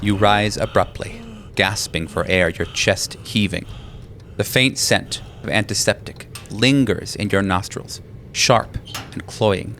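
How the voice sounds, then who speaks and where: A man's voice: on the low side, vocal fry, a bit bright, slight background noise. A man giving dramatic scripted horror narration as game master of an audio roleplay drama. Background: music.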